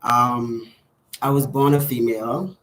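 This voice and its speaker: A man's voice: medium pitch, steady tone, slow pace, fairly bright tone, rough recording. A man in his thirties with a Bahamian accent tells a personal story to camera in a candid, hesitant way. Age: 30s. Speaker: vlogger.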